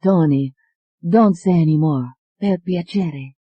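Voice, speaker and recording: A narrator voicing a gentle, polite young woman with an Italian accent, speaking softly in an audiobook. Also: fairly low pitch, slow, husky, no room sound, highs cut off. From Italy. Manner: soft and polite. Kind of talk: audiobook character voice.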